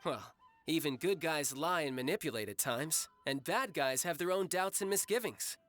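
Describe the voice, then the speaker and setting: A man's voice: on the high side, lively intonation, normal speed, a bit bright, faint music behind. An actor playing a cocky, battle-loving young man in a video game, with a breezy, teasing manner. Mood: approving. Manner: breezy, teasing, smooth-talking. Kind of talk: English video game voice acting.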